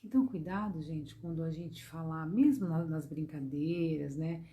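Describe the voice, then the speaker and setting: A Brazilian-accented woman gives a gentle devotional lesson in a low, mellow voice that is a little hoarse. She repeats her points and keeps turning to her listeners with tender words of address. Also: normal speed, slight background noise. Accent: Brazilian Portuguese. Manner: gentle, repetitive, calls listeners "gente" and "meus amores". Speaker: spiritist course teacher. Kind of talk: devotional lesson to an online class.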